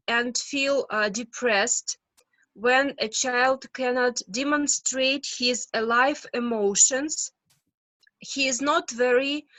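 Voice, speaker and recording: Slow, bright-voiced woman with a Russian accent. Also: on the high side, no room sound, low-quality recording. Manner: slow and careful, many hesitations. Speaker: guest expert psychologist. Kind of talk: webinar Q&A answer. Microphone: video call.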